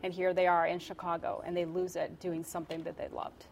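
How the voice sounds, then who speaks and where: A woman's voice: on the low side, completely flat delivery, a little fast, slight background noise. A woman from Colombia who works as a TV journalist, speaking earnestly on a news panel. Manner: earnest, heartfelt. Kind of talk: panel discussion.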